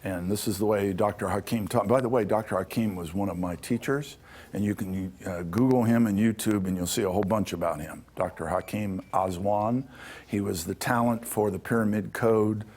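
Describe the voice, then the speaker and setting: A man's voice: lowish voice, normal speed, a little mellow. A man giving an informal public lecture to an audience in a hall, talking conversationally and checking in with "okay?" as he goes. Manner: conversational, adds checks like "okay?" to his points. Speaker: lecturer on ancient Egyptian spirituality.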